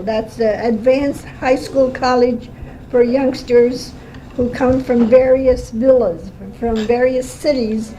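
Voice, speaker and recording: An older woman in her seventies, born in Armenia, slowly recalling her life in a home interview, with many pauses and fillers. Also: high-pitched, dark tone. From Armenia (Erzurum, Turkey). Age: 70s. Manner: slow, halting storytelling with fillers. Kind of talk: oral history interview. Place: home kitchen. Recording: lo-fi home cassette tape with faint hiss.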